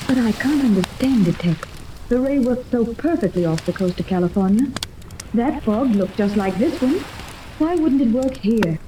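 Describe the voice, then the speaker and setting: Woman acting earnest high-voiced lady, crackly old radio. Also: normal speed, close to an everyday voice. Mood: disappointed. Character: wealthy, earnest mother searching for her lost daughter. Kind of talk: 1930s radio serial acting. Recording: noisy old radio recording, steady crackle.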